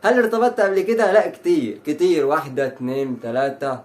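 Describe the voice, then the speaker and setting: A man's voice: a little high, quick pace. A man in his twenties with an Egyptian Arabic accent, a YouTuber answering fan questions to camera in a chatty, brash way. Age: 20s. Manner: chatty and brash, rattles off lines. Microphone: phone.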